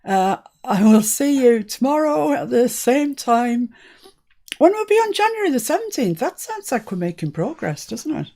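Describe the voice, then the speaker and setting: A woman's voice: high voice, very animated, moderate pace, mellow. A woman born in Scotland hosting a live crafting stream, chatting casually and laughing often. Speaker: crafting channel host. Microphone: live-stream setup.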